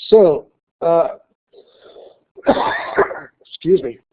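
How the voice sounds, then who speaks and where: A male college instructor giving a classroom lecture with a slight cold, talking haltingly with many fillers and restarts. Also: on the high side, expressive. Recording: tinny phone-like lecture recording.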